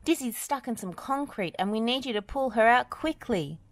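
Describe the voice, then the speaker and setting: A woman voicing a brisk, capable and kindly woman builder in a children's story, firm and exclaiming. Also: a little high, lively intonation, no echo, voice pushed up. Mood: urgent.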